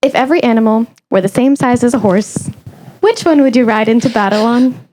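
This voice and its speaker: A young adult woman hosting a casual interview chat, reacting in an animated, teasing way. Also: on the high side, brisk, slight background noise, low-quality recording. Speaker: podcast host.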